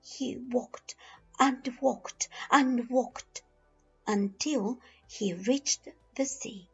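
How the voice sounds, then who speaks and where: A woman reads a children's story very slowly, in a high, husky voice. She narrates expressively, lingering on phrases and leaving dramatic pauses. The sound is a little dull and lo-fi. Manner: deliberate, dramatic pauses and drawn-out repeated phrases. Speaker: storyteller reading a children's picture book. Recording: dull lo-fi recording with music behind. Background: music.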